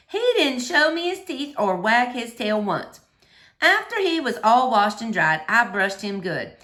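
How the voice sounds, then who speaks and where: Bright, animated woman reading aloud. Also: fairly high pitch. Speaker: read-aloud host for children. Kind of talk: expressive storybook narration.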